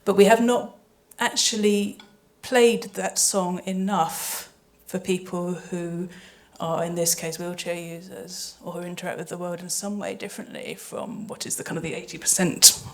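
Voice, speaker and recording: A woman's voice: on the low side, fairly even intonation, moderate pace, hardly any pauses. A woman speaking on a panel, explaining in detail and thoughtfully, with some hesitant phrasing. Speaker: panelist, audio drama sound designer. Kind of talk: panel talk. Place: live event panel before an audience.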